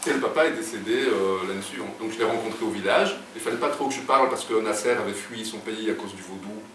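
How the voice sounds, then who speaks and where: Brief: middle-aged man, low voice, halting storyteller, recorded from afar in a roomy space. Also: fairly bright tone. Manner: hesitant, many fillers and restarts. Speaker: guest writer. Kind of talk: casual interview storytelling. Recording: echoey room, distant mic, background noise.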